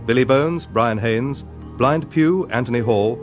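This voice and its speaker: Male radio announcer over soft music. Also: medium pitch, unhurried. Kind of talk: scripted credits announcement.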